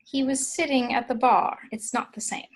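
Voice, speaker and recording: A woman's voice: fairly high pitch, fairly even intonation, normal speed, close to an everyday voice. A woman playing an exasperated but affectionate girlfriend in her twenties, earnest and pleading, in an informal table read of a film script. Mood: flat annoyance. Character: exasperated, affectionate 29-year-old girlfriend, wanting more from life.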